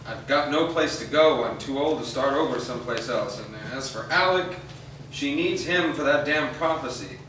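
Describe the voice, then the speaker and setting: A man's voice: medium pitch, moderate pace, a bit bright, lightly acted. A man playing a weary, remorseful aging father in a staged reading of a drama. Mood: weary, confessional. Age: older. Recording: echoey room, distant mic, faint noise.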